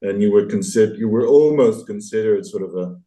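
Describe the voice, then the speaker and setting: A man's voice: on the low side, a little fast, dark tone. A man in his sixties speaking as an interview guest, reflective and articulate, thoughtful and hesitant, with a self-deprecating way of talking. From France, partly raised abroad. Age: 60s. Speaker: interview guest, graphic novelist and illustrator. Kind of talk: reflective conversational interview answers.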